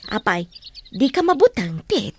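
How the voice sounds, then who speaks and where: A woman's voice: mid-range voice, very animated, a little slow, a little hiss or hum. A woman with an Ilocano accent playing a deferential but inquisitive housemaid in a radio drama. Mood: chiding, caring.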